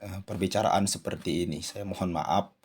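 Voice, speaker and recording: A man hosting his channel, giving a spoken commentary with a hoarse voice and many hesitant fillers. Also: sits low, steady tone, moderate pace. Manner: addresses viewers as "saudara sekalian", frequent "eh" fillers. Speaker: channel host. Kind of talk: commentary monologue.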